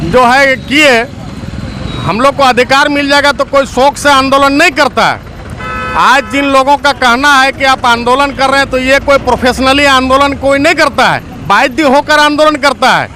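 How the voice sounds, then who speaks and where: A man's voice: very high-pitched, normal speed. A man with a Jharkhand accent speaking as an activist in a political interview, earnest and speech-like. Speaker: activist, movement leader. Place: outdoors at a road junction. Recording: noisy outdoor roadside recording. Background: loud traffic and vehicle noise.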